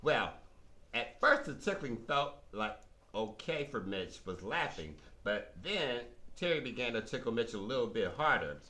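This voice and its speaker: A man with a mid-range, bright voice narrates for children. He takes his time and swings his pitch widely, sounding instructive and emphatic, like scripted storytelling. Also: big pitch swings, a little slow. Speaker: narrator of puppet show.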